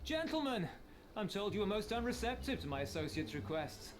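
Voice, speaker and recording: An actor playing a suave, mocking pirate in a video game, speaking with theatrical, elaborate politeness and silky menace. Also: male voice, sits high, very animated, a little fast. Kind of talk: video game character acting.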